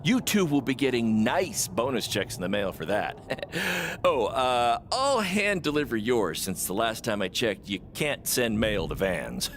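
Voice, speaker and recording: An actor playing a boastful, excitable small-time wrestling promoter with showy salesman patter in a video game cutscene. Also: medium pitch, a little slow, slight background noise. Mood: excited, teasing.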